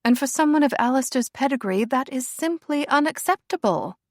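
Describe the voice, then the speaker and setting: A woman's voice: high voice, animated, brisk, no echo, clean studio sound, voice pushed up. A woman voicing a haughty young royal princess, cold and clipped in her snobbery. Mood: disdainful.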